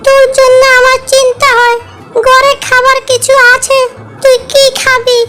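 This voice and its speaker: A woman's voice: very high-pitched, monotone, unhurried. A woman voicing a frail, loving old village grandmother in a cartoon, speaking with tender concern. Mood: tender worry. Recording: music behind the voice.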